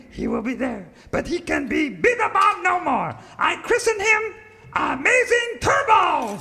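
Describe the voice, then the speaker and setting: An actor voicing a tiny furry cowboy mystic, a wise chuckling mentor with a creaky voice, in a parody radio serial. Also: male voice, heavily acted. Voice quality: creaky, high-pitched. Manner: solemn, cryptic sage pronouncements. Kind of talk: parody of old-time radio serial acting. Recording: live hall reverb, audience noise.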